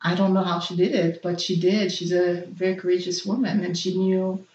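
Reflective woman with many pauses, roomy sound. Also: fairly low pitch, level tone, a little mellow, muffled recording. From Haiti. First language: Haitian Creole. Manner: thoughtful, hesitant, with many fillers. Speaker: interview guest, nurse. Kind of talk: personal interview.

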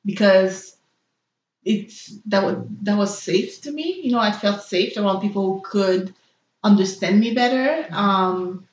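Reflective woman with many pauses, roomy sound. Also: medium pitch, dull audio. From Haiti. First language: Haitian Creole. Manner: thoughtful, hesitant, with many fillers. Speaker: interview guest, nurse. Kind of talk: personal interview.

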